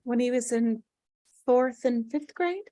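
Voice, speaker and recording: High-voiced, hesitant grieving mother played by a woman. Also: dark tone, natural voice. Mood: wistful. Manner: hesitant, halting. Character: grieving, anxious mother, gently insistent and apologetic. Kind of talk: table read of a drama script.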